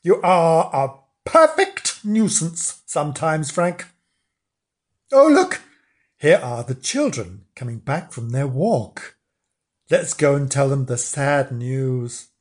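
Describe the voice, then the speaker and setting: A man voicing a brisk, easily exasperated dog with a scolding manner in a storybook. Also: on the high side, animated. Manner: scolding, exclamatory.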